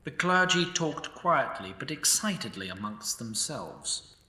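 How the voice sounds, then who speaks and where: Male narrator, dry and wry, very bright voice, distant and echoey. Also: mid-range voice, sing-song, measured pace. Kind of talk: scripted comic fantasy narration.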